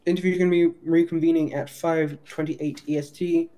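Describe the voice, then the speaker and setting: A man improvising a formal police detective's interview in a roleplay, measured, with stumbles and self-corrections. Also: fairly high pitch, little pitch movement, warm tone.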